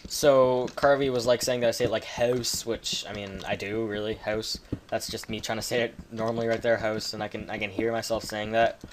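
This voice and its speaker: Brief: Canadian man, lower register, chatty offhand gameplay commentator with faint keyboard clicks behind. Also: little pitch movement, brisk, slight background noise. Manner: rambling, chatty, swears casually. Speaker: gaming video creator.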